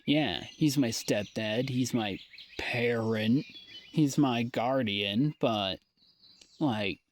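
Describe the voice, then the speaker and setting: A young man recording a personal audio diary, talking in a weary, halting way that trails off into sighs. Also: mid-range voice, slight background noise.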